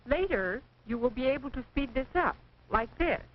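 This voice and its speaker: An American woman reading scripted 1940s training film narration in a precise, measured, instructive manner. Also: sits high, expressive. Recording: dull, noisy 1940s film soundtrack.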